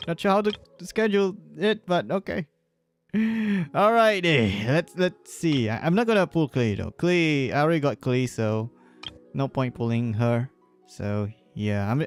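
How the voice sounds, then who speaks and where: A man doing casual livestream commentary over a game, rambling and repeating himself, often chuckling between phrases. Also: mid-range voice. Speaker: live streamer.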